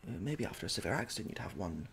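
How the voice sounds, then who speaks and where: A young man doing a soft-spoken ASMR roleplay as a medical examiner, halting and awkwardly polite, in a small room at home. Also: low voice, very animated, slight background noise. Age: young adult. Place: small domestic room indoors.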